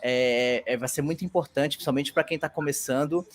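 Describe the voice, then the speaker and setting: A Brazilian man working as a data analyst, introducing himself in a lively, chatty way on an online class. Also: medium pitch, fairly quick. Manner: lively, chatty, laughs easily.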